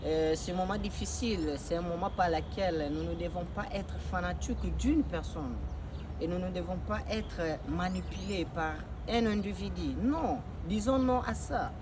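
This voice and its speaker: A man's voice: sits high, background noise. A young man from Congo giving an impassioned political address, emphatic and repetitive, with frequent hesitations. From Democratic Republic of the Congo. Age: young adult. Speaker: activist giving a video message.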